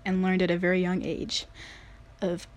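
A woman's voice: lowish voice, monotone, moderate pace. A woman in her late teens from the United States, earnestly telling a personal story in a casual way, with many "like" fillers. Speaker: vlogger.

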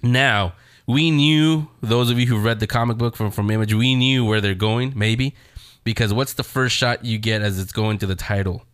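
A man's voice: medium pitch, moderate pace, fairly bright tone, clean tone, dry recording, clean studio sound. A man hosting a show in a casual, rambling, conversational style, talking straight to his audience. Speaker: show host. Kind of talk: casual chat reviewing TV shows.

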